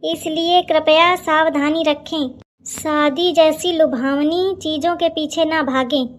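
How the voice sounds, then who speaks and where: A woman with a very high voice narrates a scripted comedy. She delivers it as a mock warning to the listener. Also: fairly even intonation, takes it slowly.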